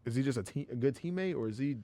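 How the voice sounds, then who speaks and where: A man co-hosting a casual sports podcast, talking fast and getting animated, his voice jumping up high when excited. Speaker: podcast co-host.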